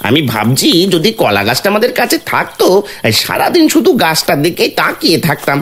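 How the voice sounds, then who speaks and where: A man's voice: on the high side, huge pitch swings, brisk, fairly bright tone, exaggerated voice. A man voicing a wily, cowardly and lazy village husband, a reformed thief, in an exaggerated comic cartoon style. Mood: sly, flustered. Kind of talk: Bengali fairy-tale cartoon voice acting.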